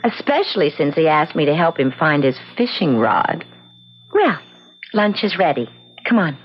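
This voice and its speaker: An actress playing a caring, worried young bride-to-be who gently chides, in a radio drama. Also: female voice, sits low, sing-song, normal speed, breathy edge, exaggerated voice. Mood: chatty. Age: young adult. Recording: muffled, noisy old radio drama recording.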